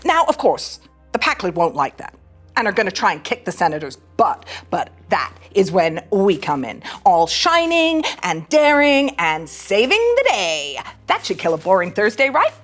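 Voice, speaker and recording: A woman voicing a cocky, mischievous young female starship ensign in a scripted animated sci-fi read, flippant and teasing. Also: mid-range voice, moderate pace. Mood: sly, breezy. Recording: music under the voice.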